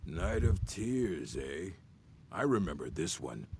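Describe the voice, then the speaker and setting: Aged orc keeper of books for a fantasy game: deep, creaky, stern, gruffly scholarly. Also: male voice, big pitch swings, takes it slowly, darkish tone, a little hiss or hum, exaggerated voice. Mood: gruffly intrigued.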